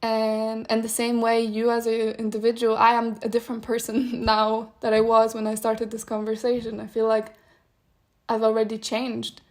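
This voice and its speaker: Thoughtful woman, Polish native, even-toned. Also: on the high side, moderate pace. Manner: thoughtful, meandering, with soft laughs. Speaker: podcast host. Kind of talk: solo reflective podcast monologue.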